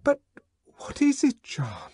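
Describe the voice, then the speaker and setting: A man puts on a young woman's voice in a storybook reading, a soft, coaxing murmur that can leap into high, panicked cries. The pitch swings widely and the sound is close and dry. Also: huge pitch swings, slow, dry recording, exaggerated voice. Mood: terrified.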